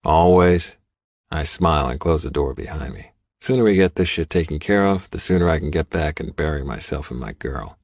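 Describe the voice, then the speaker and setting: A man's voice: rumbling low, expressive, brisk, no room sound, clean studio sound. A man narrating first-person fiction as a scripted audiobook.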